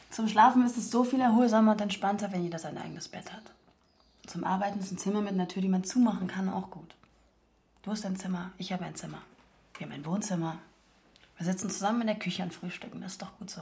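Actress as cool, fast-talking young female dealer. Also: medium pitch, a little mellow, close to an everyday voice. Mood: calm, intimate. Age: 20s. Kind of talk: naturalistic radio drama dialogue.

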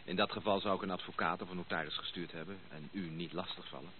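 Man as a formal gentleman, tinny old recording. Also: deep voice, steady tone, fast talker, lightly acted. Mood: courteous, reserved. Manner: formal, courteous, hesitant. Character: polite, slightly flustered gentleman visitor, lost on the way. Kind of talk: Dutch radio play acting. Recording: tinny band-limited old radio play recording, noisy.

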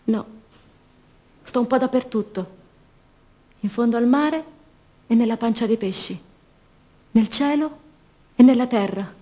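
A woman's voice: on the high side, unhurried, pauses often, dark tone. An actress playing a dead young mother, one of the disappeared, wistful and tender, in a radio theatre monologue. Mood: mournful, tender.

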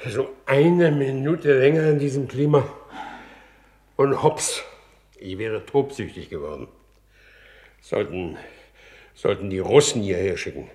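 An actor playing an exasperated, contemptuous war minister in a 1950s German radio play, with a blustering, sarcastic delivery. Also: male voice, mid-range voice, unhurried, pauses often, a little mellow, natural voice. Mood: exasperated, scornful.

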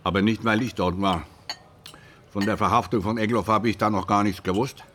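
An actor playing an older, dry and terse retired police inspector in a naturalistic radio drama. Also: male voice, fairly low pitch, fairly even intonation, a little fast, noisy background, close to an everyday voice. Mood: dry, needling.

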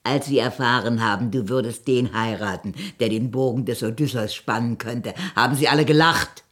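An actress voicing a drink-worn, loyal maidservant in a German radio play, plaintive and full of reproach. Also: female voice, rumbling low, normal speed. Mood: needling.

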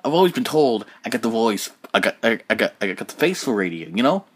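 Husky, rambling sleep-deprived podcast host. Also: male voice, on the low side, big pitch swings, quick pace. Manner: rambling, repeats himself, goes off on tangents, swears. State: sleep-deprived, up almost 24 hours. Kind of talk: rambling solo podcast monologue.

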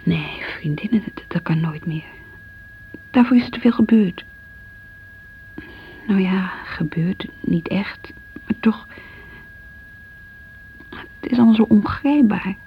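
An actress playing an anxious, guilt-ridden married woman in a radio drama monologue, hushed and hesitant, with a Netherlands Dutch accent. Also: mid-range voice, expressive, slow pace, pauses often. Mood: bitter, hushed. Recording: dull, faintly noisy radio recording.

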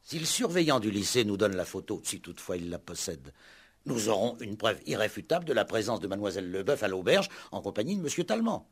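Husky, insistent police superintendent. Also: male voice, lowish voice, sing-song, quick pace, full character voice. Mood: brisk, emphatic. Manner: questioning, insistent, repeats himself. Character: brisk, probing, impatient male police superintendent. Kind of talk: 1960s French radio play acting. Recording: 1960s radio drama recording.

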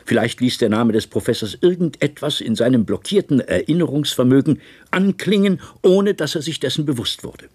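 An actor playing a grave, probing chairman of an inquiry, speaking formally and deliberately in a 1970s radio play. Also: medium pitch, animated, a little mellow, slightly rough.

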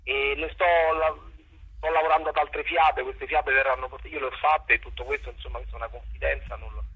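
A man's voice: mid-range voice, fairly even intonation, a little fast, breathy edge, narrow, tinny audio. A middle-aged man speaking conversationally as a guest theatre director, searching for his words and repeating himself. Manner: hesitant, reflective, repeats words while searching for phrasing. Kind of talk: conversational interview.

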